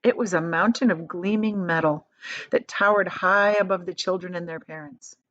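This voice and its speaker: A woman's voice: on the low side, moderate pace, fairly bright tone. Woman storyteller, slightly dull recording. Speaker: storyteller reading aloud. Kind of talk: expressive children's book narration.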